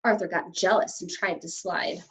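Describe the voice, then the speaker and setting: A woman teacher reading a children's story aloud and explaining it to young pupils in an expressive way. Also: fairly low pitch, moderate pace. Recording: slightly dull, lo-fi sound.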